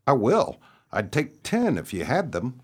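Man as a husky, wisecracking city fellow. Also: mid-range voice, huge pitch swings, unhurried, full character voice. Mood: jittery. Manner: slangy, brusque. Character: nervous, wisecracking city son of the caretaker. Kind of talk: radio play acting.

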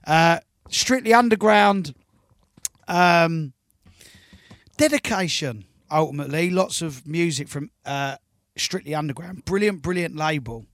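A man, a radio DJ reading out listener shout-outs in playful, chatty banter. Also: a little high, expressive, a little slow, no room sound, clean studio sound. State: croaky, losing his voice. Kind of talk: live radio shout-outs over music. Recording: hip hop music playing under the voice.

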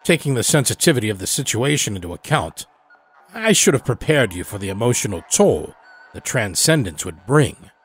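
A man voicing an elderly, evasive doctor in a horror story, speaking in a formal, measured, grave way. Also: mid-range voice, very animated, breathy edge, soft background music. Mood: confiding, formal. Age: older. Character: elderly evasive doctor running a secret time-travel clinic. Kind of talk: dramatic audio horror storytelling.

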